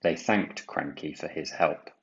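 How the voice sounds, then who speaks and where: A man reading a children's storybook aloud, with deliberate, dramatic emphasis. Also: very deep voice, highs cut off. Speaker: storybook reader. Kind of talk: expressive children's read-aloud narration.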